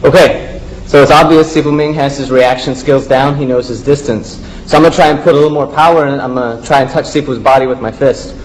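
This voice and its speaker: A man's voice: medium pitch, steady tone, quick pace, slight background noise, poor audio. A man giving plain, explanatory commentary as he demonstrates martial arts drills as a student presenter.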